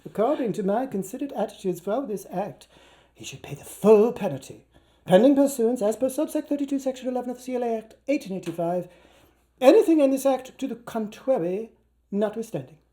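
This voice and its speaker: A man's voice: very high-pitched, expressive, brisk, warm tone. A man voicing a languid young woman detective who pronounces judgement pompously in a stage reading. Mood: pompous, judicial.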